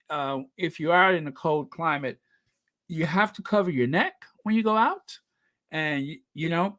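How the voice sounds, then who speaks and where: A man hosting a casual livestream, chatting with viewers and reading their comments aloud, animated and quick to laugh. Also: fairly high pitch, no echo, clean studio sound. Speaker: livestream host.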